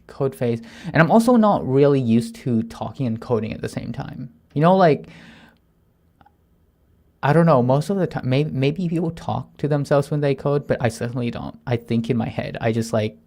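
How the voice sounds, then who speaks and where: Guy in his 30s coding on stream, mumbles stuff half to himself, trips over his words a lot, middle pitch voice. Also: vocal fry. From Thailand. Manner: halting, trips over words and restarts when nervous; soft murmured asides while reading. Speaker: software developer streaming. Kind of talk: thinking aloud while coding, reading plan text.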